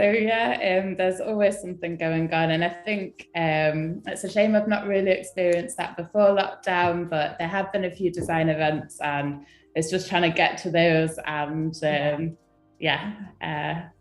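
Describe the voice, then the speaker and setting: A young woman from England, a guest designer chatting casually on a live stream, hesitant with many ums and soft laughs. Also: lowish voice, measured pace, roomy sound, faint music behind.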